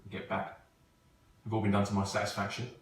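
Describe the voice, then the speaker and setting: A man playing a genial but commanding company boss in a stage play, chummy and deliberate. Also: on the low side, completely flat delivery, lightly acted. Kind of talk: amateur stage-play acting, naturalistic dialogue. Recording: very echoey, distant, faintly noisy recording.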